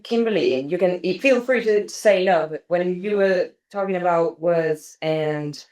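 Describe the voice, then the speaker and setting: Woman w a really deep voice, asking a question kinda hesitantly, roundtable chat. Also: measured pace, darkish tone. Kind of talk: conversational discussion.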